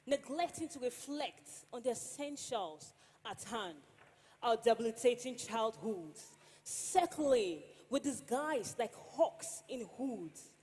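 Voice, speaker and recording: A woman's voice: on the high side, lively intonation, slow, darkish tone, husky, exaggerated voice. A woman playing a rueful wife, reciting a solemn theatrical monologue in rhymed verse. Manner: solemn, declamatory. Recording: reverberant stage hall.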